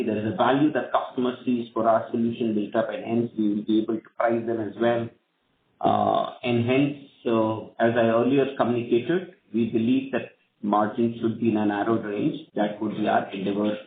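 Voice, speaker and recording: Echoey phone-line Indian executive, gravelly low voice. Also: male voice. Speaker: company executive. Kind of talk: answering questions on an earnings call. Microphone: conference call line. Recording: tinny phone line, echoey room, faint noise.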